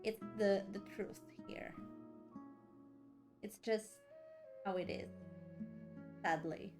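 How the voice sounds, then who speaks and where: A woman's voice: medium pitch, steady tone, slow pace. A woman streamer giving casual game commentary in a joking, hesitant, rambling way. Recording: clear game music behind.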